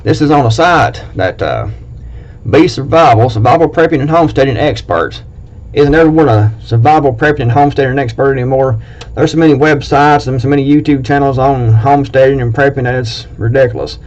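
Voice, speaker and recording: A man's voice: mid-range voice, fairly quick, hardly any pauses, darkish tone. A man with an Appalachian accent who hosts a prepping channel, talking casually to camera in a blunt, plain-spoken and rambling way. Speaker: prepping video host. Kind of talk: casual talk to camera, reading a list. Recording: dull lo-fi recording.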